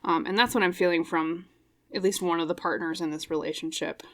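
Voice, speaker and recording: A woman with a somewhat low, slightly scratchy voice talks through a tarot reading into a microphone. She rambles and reflects aloud, often stopping to check in with her listeners. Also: fairly even intonation, fairly quick, a bit bright. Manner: rambling and reflective, tag questions like "okay?". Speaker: tarot reader. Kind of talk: intuitive pick-a-card tarot reading.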